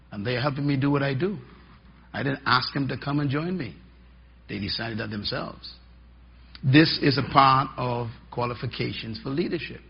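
Pastor guy, gravelly and husky, punchy preaching, muffled old recording. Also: mid-range voice. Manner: emphatic, rising and dropping for effect, folksy asides. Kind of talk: sermon teaching. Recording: dull lo-fi sermon recording.

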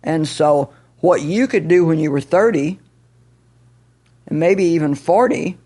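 A man's voice: fairly high pitch, measured pace, mellow. A man in his seventies, a minister and author, talking frankly and reflectively on a chat show. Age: 70s. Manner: reflective, candid, frank storytelling with self-deprecating humor. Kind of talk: casual talk-show chat.

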